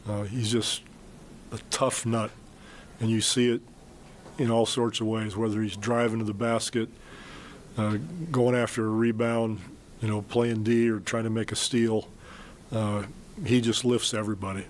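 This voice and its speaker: An older man speaks at a desk mic in a press conference room. He answers postgame questions as a coach in a measured, plain-spoken way, full of fillers and restarts. A faint room hum sits behind him. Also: lowish voice, level tone. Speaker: basketball head coach. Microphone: desk mic on stand.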